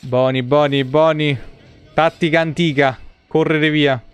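A man's voice: fairly high pitch, fairly even intonation, a little slow, smooth. A young man giving casual live game commentary, muttering and swearing in exasperation to his viewers. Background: video game music.

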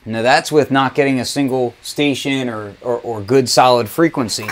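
Man, medium pitch, informal, enthusiastic, casual gear demo presenter, basement studio. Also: normal speed, background noise. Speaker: presenter of music gear demos. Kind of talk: casual product demonstration.

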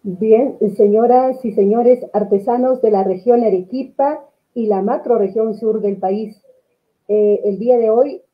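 A woman's voice: fairly high pitch, unhurried. A middle-aged Peruvian woman hosting an online webinar in a formal, ceremonious manner, speaking on a video call. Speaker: host, regional government crafts official. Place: small room with bookshelves, indoors. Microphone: computer microphone on video call. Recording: dull lo-fi video-call audio.

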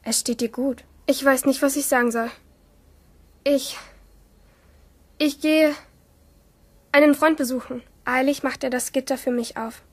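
A woman's voice: high voice, steady tone, a little slow, pauses often, no echo. A woman playing a rebellious, lonely teenage girl who narrates her thoughts intimately in a German radio play. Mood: hesitant, nervous.

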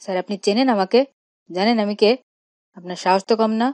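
A woman's voice: mid-range voice, fairly quick, darkish tone, no room sound, lightly acted. A woman voicing a spoiled, cheeky schoolboy who talks back defiantly in a cartoon. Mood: defiant, threatening. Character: spoiled, insolent schoolboy, a councillor's son. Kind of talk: cartoon dialogue acting.

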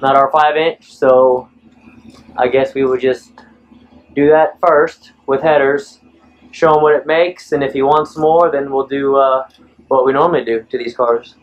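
A man talks shop in a relaxed, matter-of-fact way, using plain garage slang. He is recorded on a handheld action camera inside a large car repair garage. The audio is dull and lo-fi. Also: medium pitch, a little slow. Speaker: performance shop owner and tuner. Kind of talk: casual shop talk while filming.

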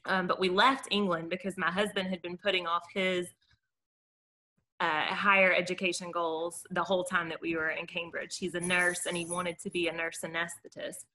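Woman, American accent, bright and forceful voice, presenting informally on a video call as a garden caretaker. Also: on the low side, little pitch movement, moderate pace. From Mobile, Alabama, United States.

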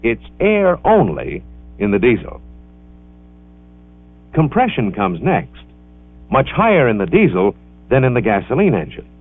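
Animated male narrator, narrow vintage film sound. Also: mid-range voice, breathy edge, dry recording. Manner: measured, instructional, carefully enunciated. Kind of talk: scripted educational film narration. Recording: band-limited vintage 16mm film soundtrack.

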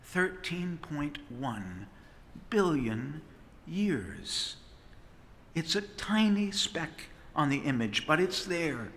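A middle-aged priest preaches a sermon in an unhurried, measured rhythm. His voice is high and bright, and long reverb trails every phrase through the big stone church. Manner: expressive preaching with sweeping hand gestures. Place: large stone church, at the pulpit. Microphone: pulpit microphone on a stand.

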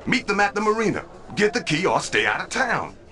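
An actor playing a pushy street crook with punchy slang in a crime video game. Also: male voice, high-pitched, big pitch swings, brisk, sharp tone, heavily acted. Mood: brisk, punchy. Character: pushy, fast-talking male street crook. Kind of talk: video game cutscene acting.

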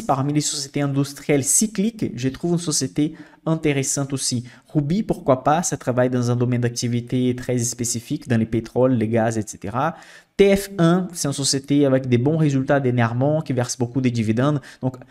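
Young guy, teaching stock filters on screen share, steady mid voice, lots of "ok?". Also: medium pitch, moderate pace. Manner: explanatory, frequent "ok?" checks and fillers. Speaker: investing channel host. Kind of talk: screen-share finance tutorial.

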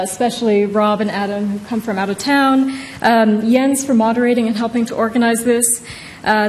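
A woman's voice: on the high side, a little mellow. A woman in her thirties from Indonesia giving an informal academic talk as a law professor, conversational and often checking in with "right?". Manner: conversational, frequently tags points with "right?". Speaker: law professor, author presenting her book. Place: large wood-panelled courtroom-style hall. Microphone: podium microphone. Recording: reverberant wood-panelled hall, some noise.

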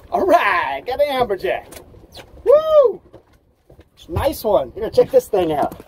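Man, 40s, high-pitched, upbeat outdoor fishing vlogger on a boat. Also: a little hiss or hum. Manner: upbeat, narrates while fighting fish, exclamations. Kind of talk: excited fishing narration. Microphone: action camera, sometimes selfie at arm's length.

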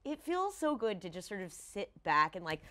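An expressive woman in her thirties chats casually as a guest in a studio. Her medium-pitched voice moves around a great deal, with animated, lively intonation. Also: moderate pace. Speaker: talk show guest, roleplay performer. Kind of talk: casual chat. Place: indoor studio set.

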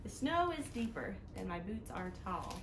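A woman hosting a children's storytime, reading aloud in an animated, sing-song way that speaks directly to little listeners. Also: mid-range voice, moderate pace. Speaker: children's storytime host at a library. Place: living room indoors, seated on a couch. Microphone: camera a few feet away. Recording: roomy home sound with noticeable background noise.

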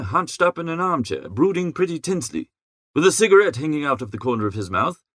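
A man playing a good-natured, easily flustered young upper-class idler who narrates an audiobook in a chatty, slangy, expressive way. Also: fairly high pitch, no echo, studio quality. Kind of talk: first-person audiobook narration.

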